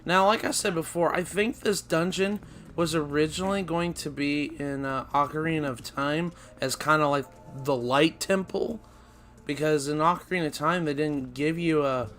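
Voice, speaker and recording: A man hosting a video game let's play, losing his voice and sounding raspy, rambling and correcting himself as he talks. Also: a little high, steady tone, normal speed, a bit bright, faint music behind. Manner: rambling, self-correcting, chatty. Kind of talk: casual gameplay commentary.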